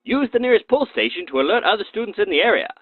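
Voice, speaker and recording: A man's voice: sits high, very animated, rapid-fire, no echo. A man presenting scripted safety narration in a tongue-in-cheek, mock-serious way. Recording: muffled, phone-like narrow band.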